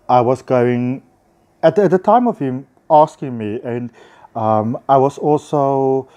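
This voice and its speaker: A man's voice: mid-range voice, warm tone, dull audio. Enthusiastic South African man chatting casually. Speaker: spiritual healer and teacher. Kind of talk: casual vlog monologue to viewers. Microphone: handheld phone.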